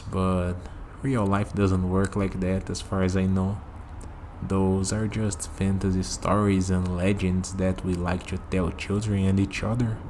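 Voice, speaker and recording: A man's voice: low voice, darkish tone, slight background noise. A man acting a friendly, easygoing human on a night walk in an ASMR roleplay, musing conversationally to the listener. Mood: skeptical, thoughtful.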